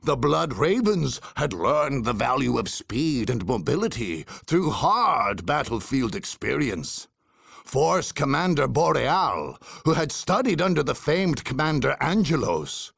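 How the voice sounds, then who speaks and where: An actor playing a grave, menacing war chronicler, narrating a game theatrically with a gravelly voice. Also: male voice, on the high side, fairly bright tone, dry recording, clean studio sound, heavily acted. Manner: theatrical, deliberate storytelling. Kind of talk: dramatic scripted game narration.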